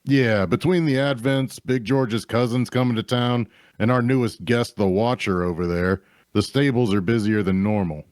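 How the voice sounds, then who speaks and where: An actor playing an easygoing, practical tavern keeper in a fantasy drama read, casual and matter-of-fact. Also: male voice, medium pitch, moderate pace, a little mellow, no room sound, clean studio sound, natural voice.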